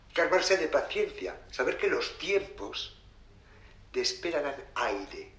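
A man's voice: fairly high pitch, sing-song, takes it slowly, fairly bright tone, heavily acted. A man playing a patient, philosophical cook explaining his craft in an audio drama. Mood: reflective, instructive. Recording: roomy echo, faint background noise.

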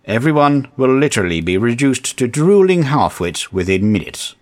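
A man's voice: medium pitch, sing-song, moderate pace, natural voice. A man playing an earnest, officious and exasperated agency chief in a comedic audio drama. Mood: grave, official. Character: exasperated, earnest male agency chief briefing misfit heroes.

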